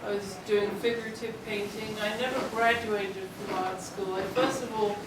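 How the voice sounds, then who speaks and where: A woman's voice: mid-range voice, fairly even intonation, normal speed. British-accented woman artist, chatty storyteller, distant and echoing, noisy room. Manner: chatty, storytelling, occasional fillers. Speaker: exhibiting artist. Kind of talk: informal artist talk. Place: gallery room, speaking without microphone. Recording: echoey room from far off, noisy.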